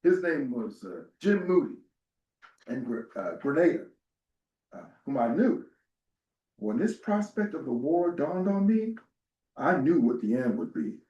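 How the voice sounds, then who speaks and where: A man reading a first-person historical narrative aloud as a dramatic reader, in a measured storytelling way. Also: on the high side, big pitch swings, mellow, highs cut off. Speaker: dramatic reader at a literary event. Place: live event in a reverberant room. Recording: echoey room, far from the mic.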